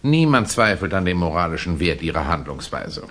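An actor playing an excitable, deferential aristocratic prince in a German radio play, eager, pompous and effusive. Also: male voice, deep voice, normal speed, exaggerated voice.

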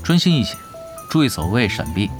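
Guy acting laid-back pro gamer, medium pitch, casual confidence, big swings in tone, audio drama. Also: big pitch swings, a little fast, close to an everyday voice. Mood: calm, assured. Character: laid-back, confident male veteran game expert. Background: faint music.

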